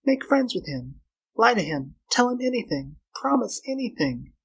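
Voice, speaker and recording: Man voicing a high, husky, frightened scheming young woman. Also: very animated, normal speed, fairly bright tone, full character voice, poor audio. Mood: urgent scheming. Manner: urgent, pleading.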